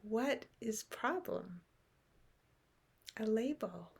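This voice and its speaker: A woman leading a guided meditation in short, unhurried phrases with long pauses, softly trailing into breath at the ends. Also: fairly high pitch, lively intonation, creaky, slightly rough. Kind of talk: guided meditation, unscripted and contemplative.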